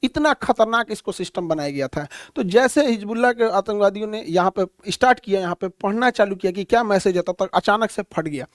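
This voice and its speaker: High-voiced folksy male teacher. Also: brisk, few pauses, dry recording, clean studio sound. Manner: animated, repetitive, blunt and folksy with homely comparisons. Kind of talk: explanatory lecture on current affairs.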